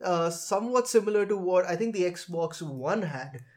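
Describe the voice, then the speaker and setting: A man moderating a casual tech podcast, speaking in hesitant, halting phrases and putting questions to co-hosts. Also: high voice, animated, darkish tone.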